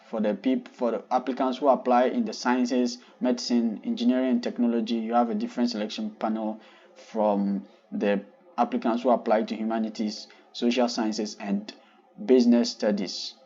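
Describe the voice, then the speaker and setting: A man's voice: medium pitch, level tone, normal speed, dark tone, husky, dull audio. A young man from Ghana hosting his own channel, earnestly walking viewers through funding details step by step in a polite, explanatory way. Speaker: channel host. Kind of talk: scholarship explainer vlog.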